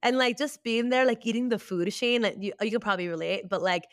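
A woman's voice: fairly high pitch, fast talker, no echo, clean studio sound. A young woman from Peru co-hosting a podcast, chatting casually in a bubbly way, with lots of "like" and laughter. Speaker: podcast co-host. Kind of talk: casual chat.

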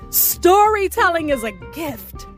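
A woman hosting a radio show, reading a scripted introduction in a deliberate, theatrical way with music behind her. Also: extremely high pitch, sing-song.

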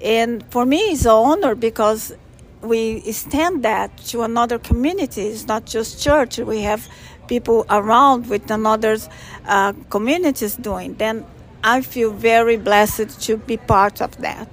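A woman from Brazil with a Brazilian Portuguese accent, a church volunteer coordinator answering interview questions in an earnest, halting way. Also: on the high side. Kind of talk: podcast interview.

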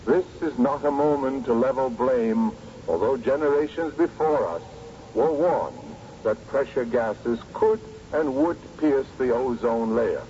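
US president voiced by a male actor, oratorical and solemn, mellow mid-range, muffled vintage radio sound. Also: medium pitch, animated, natural voice. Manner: oratorical, deliberate, grave. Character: solemn male American president addressing a doomed nation. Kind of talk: formal broadcast address in a radio drama. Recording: dull, noisy old radio recording.

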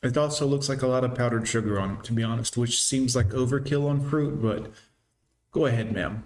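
A man's voice: mid-range voice, a little fast, a little mellow. A man hosting a reaction video, mocking the clips with sarcastic commentary aimed at his viewers. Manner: sarcastic, derisive, speaks to viewers as "guys".

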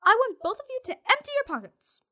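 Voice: extremely high pitch, sing-song, fast talker, sharp tone, pitched above the performer's own voice, exaggerated voice. A narrator voicing a stern female elementary school teacher in a commanding manner for a storybook. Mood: angry, commanding.

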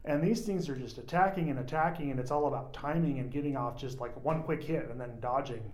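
A man in a casual podcast chat, explaining in a relaxed way with small hesitations. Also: medium pitch, brisk, echoey room.

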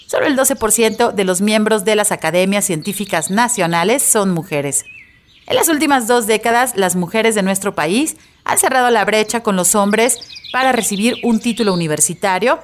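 A woman hosts an educational radio program. She reads her prepared introduction steadily and informatively, her voice sitting at an ordinary middle pitch. Clean studio microphone sound. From Mexico. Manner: steady, informative reading from a script. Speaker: radio show host. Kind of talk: scripted radio narration.

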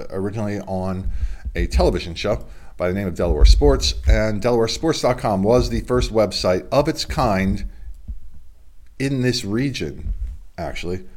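Congested middle-aged sports host. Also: male voice, fairly low pitch, darkish tone. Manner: chatty, rambling, self-deprecating. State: congested from a cold. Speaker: local sports podcast host. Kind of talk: casual solo sports report. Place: home studio. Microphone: video stream webcam setup.

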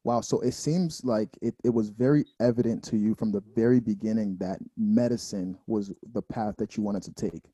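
A man's voice: lowish voice, moderate pace, few pauses, husky, dry recording. Chatty man host, dry recording. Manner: relaxed, chatty with fillers. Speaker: interview host. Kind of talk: casual interview.

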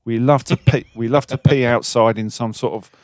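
A man's voice: medium pitch, fairly even intonation, moderate pace, darkish tone, no echo. Self-mocking British man, dry close mic. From Britain. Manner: chatty, self-mocking, laughs while telling stories. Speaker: co-host. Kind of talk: casual podcast chat.

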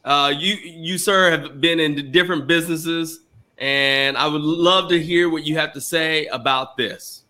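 A man's voice: fairly high pitch, steady tone, unhurried, sharp tone. A man co-hosting a podcast, bantering casually with playful teasing as he asks questions. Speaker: podcast co-host.

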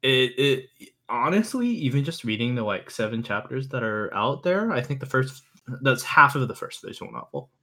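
A man chatting casually as a podcast guest and visual novel fan, halting and rambling with a jokey streak. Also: medium pitch, sing-song, sharp tone.

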